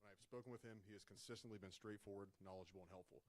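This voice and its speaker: Fast-talking man reading a formal statement. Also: fairly low pitch, steady tone. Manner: formal, reads from prepared remarks, loud voice. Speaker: resident speaking at public comment. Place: council chamber. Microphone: podium microphone, partly dead.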